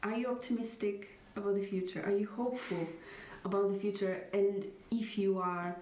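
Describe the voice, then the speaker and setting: A woman interviewer who asks her questions gently and lets them ramble. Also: mid-range voice, fairly even intonation, moderate pace. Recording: echoey room, distant mic, faint noise.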